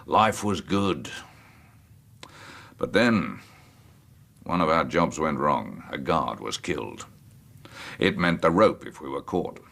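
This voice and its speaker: An actor in a radio drama playing a gruff, ailing, bitter old man with a gravelly, weary, deliberate delivery. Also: a bass voice, expressive, fairly bright tone, exaggerated voice. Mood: weary, reminiscing. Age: older.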